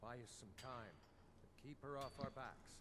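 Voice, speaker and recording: A man's voice: mid-range voice, normal speed, close to an everyday voice. An actor playing a blunt, wry mine foreman in a fantasy video game. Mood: wry, conspiratorial. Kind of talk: scripted video game dialogue. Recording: clearly noisy game ambience behind.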